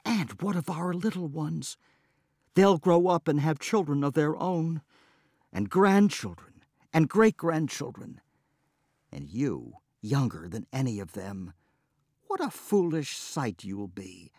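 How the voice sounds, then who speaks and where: A man's voice: fairly low pitch, very animated, slow, warm tone, slightly rough, no echo, clean studio sound, heavily acted. A man voicing an exasperated peasant wife who scolds her foolish husband in a storybook reading. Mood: scolding.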